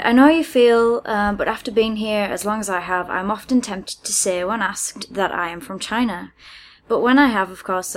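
A British woman co-hosting a podcast, reading messages aloud and chatting in a halting, hesitant way. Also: medium pitch. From United Kingdom. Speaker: podcast co-host.

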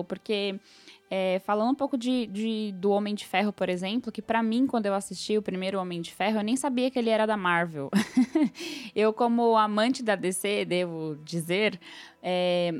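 Uma mulher com sotaque brasileiro comentando em conversa informal de podcast, como apresentadora. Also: female voice, medium pitch. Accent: Brazilian. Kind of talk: casual podcast chat.

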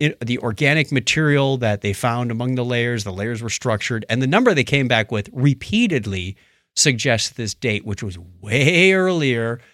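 A man's voice: mid-range voice, lively intonation, moderate pace, fairly bright tone, dry recording. Man chatting casually, close dry sound. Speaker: podcast co-host. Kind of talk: casual chat, recounting documentaries.

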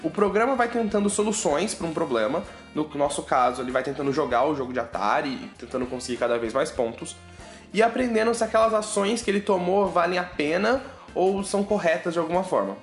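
A man's voice: on the high side, brisk. A man with a Brazilian Portuguese accent hosting a casual science news podcast, chatty and joking with listeners. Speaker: podcast host. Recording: roomy echo with faint music.

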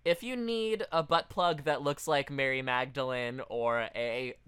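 A male podcast co-host with a bright, crisp voice at an ordinary pitch. He talks casually and jokingly, in the loose patter of comedic banter. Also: measured pace.

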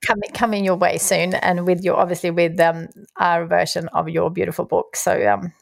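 Swedish woman host, gravelly low voice. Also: level tone, normal speed, dry recording. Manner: warm, affirming, laughs often. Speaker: podcast host. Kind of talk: casual interview chat.

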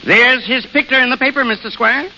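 A man's voice: very high-pitched, a little fast, pushed and bright, faint music behind, full character voice. An actor playing a boastful, folksy old American grandfather who spins tall tales in a rustic radio drama style. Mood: gleeful, boastful. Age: older. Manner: folksy, rustic, chuckling storyteller. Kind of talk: 1930s-40s radio drama acting. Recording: muffled, hissy vintage radio recording.

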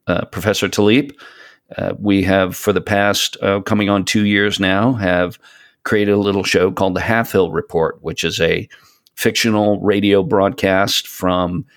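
A 57-year-old man chatting casually as a podcast guest, speaking in a halting way with rambling asides. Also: sits low. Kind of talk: casual interview chat.

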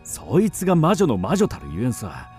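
An actor playing a rough, superstitious warrior of old Japan, speaking bluntly and coarsely in a radio drama. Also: male voice, mid-range voice, wildly swooping pitch, moderate pace, darkish tone, soft background music. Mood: ominous, wary. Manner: coarse rustic male speech, blunt.

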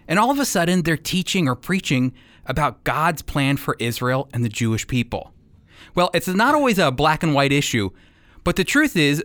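Guy hosting a teaching radio show, voice a bit on the high side, dry close studio sound. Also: a bit bright, no echo. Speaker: radio program host and teacher.

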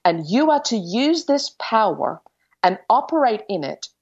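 A woman's voice: medium pitch, sing-song, measured pace, darkish tone, slightly rough, highs cut off. A woman giving an earnest spiritual teaching as a Bible teacher, explaining carefully and stressing key phrases.

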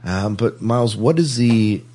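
A man's voice: on the low side, expressive, unhurried, dark tone. A man co-hosting a podcast in a casual, chatty style. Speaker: podcast co-host.

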